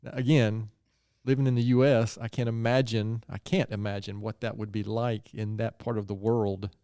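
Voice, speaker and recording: Man, podcast host, gravelly voice, dry close sound, friendly, chatty. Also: on the low side, little pitch movement, moderate pace, mellow, dry recording. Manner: friendly, chatty, rambling asides. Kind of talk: casual interview chat.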